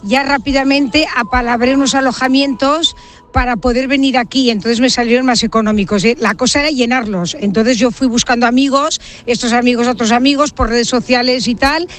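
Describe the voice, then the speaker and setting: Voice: mid-range voice, steady tone, fairly quick, a bit bright. A person from Barcelona, Spain, organiser of a pilgrim group, giving casual explanatory answers in an outdoor interview. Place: outdoors in a meadow.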